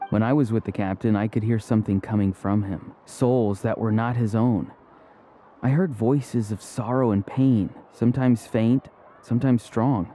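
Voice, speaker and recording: Man voicing young male game warrior, very mellow, serious, measured delivery, faint background noise. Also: on the low side, steady tone, natural voice. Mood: grave, reflective. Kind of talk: English video game character acting.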